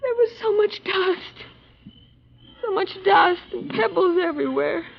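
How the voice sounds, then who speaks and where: A woman's voice: very high-pitched, expressive, unhurried, vocal fry, heavily acted. An actress playing a fragile, distraught mother, crying in grief in an old-time radio drama. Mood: numb, tearful grief. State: crying, anguished. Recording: muffled old radio recording.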